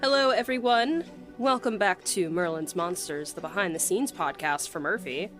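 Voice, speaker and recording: A woman's voice: fairly low pitch, normal speed, fairly bright tone, soft background music. A woman hosting a podcast in casual, unscripted chat with an informal manner. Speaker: podcast host.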